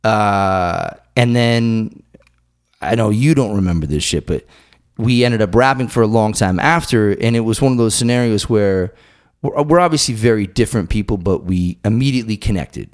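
A man's voice: on the low side, moderate pace. Loose, profane man hosting a chat. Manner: loose, profane, rambling storyteller. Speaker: podcast host. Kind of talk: casual interview chat.

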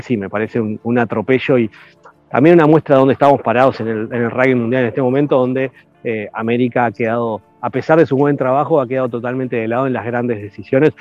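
A man giving measured, analytical commentary in a casual chat, with frequent hesitations. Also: medium pitch, darkish tone, no echo. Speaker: rugby podcast guest. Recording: dry, dull lo-fi sound.

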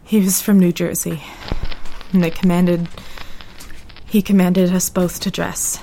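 A woman's voice: on the low side, little pitch movement, measured pace, vocal fry, mellow, a little hiss or hum, rough recording. A woman playing an anguished, defiant teenage girl in love, reading her diary in a dramatic monologue. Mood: bitter, pained.

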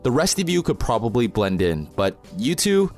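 A man's voice: mid-range voice, big pitch swings, moderate pace, soft background music. A man playing a dry, wary protest reporter with a sardonic, blunt manner in a fiction podcast. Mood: blunt concern.

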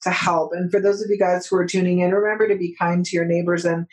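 A woman's voice: lowish voice, level tone, fast talker. A woman hosting a podcast interview, effusive and thankful toward her guest. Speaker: podcast host.

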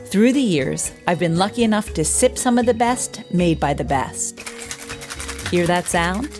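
An American woman hosts the show in a polished, scripted delivery. Her voice sits a little low, and soft music plays faintly behind her. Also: expressive, unhurried. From United States. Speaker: podcast host. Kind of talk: scripted introduction and interview questions.

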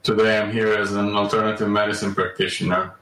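A man talks slowly and evenly as a podcast guest. His low, flat voice keeps hesitating with ums and uhs. He sits well back from the mic in a roomy, echoey space. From Romania. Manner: measured and slow, many ums and uhs. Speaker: guest, alternative medicine practitioner. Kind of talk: podcast interview. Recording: echoey room, distant mic.

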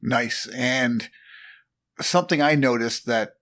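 A man's voice: mid-range voice, takes it slowly, a bit bright. A man co-hosting a sports podcast, chatting in an easygoing, conversational way. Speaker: podcast co-host. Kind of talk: casual sports podcast chat.

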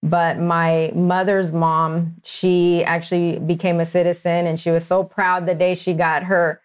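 Low, flat-voiced woman from Texas. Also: smooth. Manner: measured, earnest, with small restarts. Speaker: physician guest. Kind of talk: conversational interview answers.

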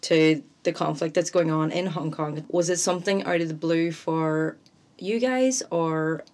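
A woman's voice: low voice, a little slow, a little mellow. A woman hosting a podcast interview, guiding the talk with friendly questions and fillers. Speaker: podcast host.

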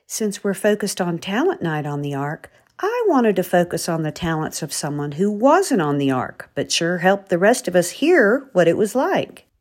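A woman with a slightly low voice interviews for a podcast. She is chatty and expressive, with lively pitch movement, and sounds like an author presenting her work. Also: normal speed, hardly any pauses.

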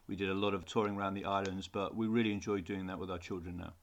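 A man's voice: sits low, little pitch movement, fast talker, highs cut off. Earnest middle-aged man. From England. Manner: earnest, repeats words when he restarts. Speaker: design teacher, podcast guest. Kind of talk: conversational interview answers.

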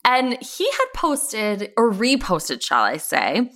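Bright, animated woman in casual podcast chat. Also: medium pitch, slow. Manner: animated, chatty storytelling. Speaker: podcast co-host. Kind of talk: casual pop-culture chat.

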